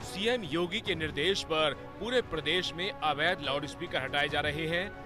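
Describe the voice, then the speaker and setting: A man's voice: a little high, normal speed. A man reading a scripted news voice-over as the narrator of a television report. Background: prominent music bed.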